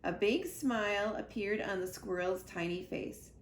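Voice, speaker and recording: A woman reading a children's storybook aloud with expressive narration. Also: medium pitch, measured pace. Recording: roomy echo, clear background noise.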